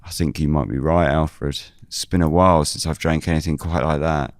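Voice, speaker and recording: A man playing a weary investigator who narrates a scripted audio drama in the first person, measured and brooding. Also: a bass voice, steady tone, dry recording, clean studio sound. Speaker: narrator and lead character.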